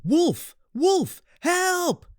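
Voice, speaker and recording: A man voicing a mischievous young shepherd boy in a storybook, shouting urgently for help. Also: very high-pitched, very animated, very slow, dry recording, studio quality, voice pushed up, heavily acted. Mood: mock alarm. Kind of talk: storybook narration character voice.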